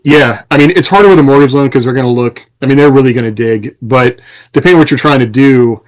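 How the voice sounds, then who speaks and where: A man in relaxed podcast conversation, co-hosting and explaining things at some length. His pitch sits in an ordinary middle range, and his delivery rambles from point to point. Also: fast talker, low-quality recording. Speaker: podcast co-host and self-employed writer. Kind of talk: casual podcast chat.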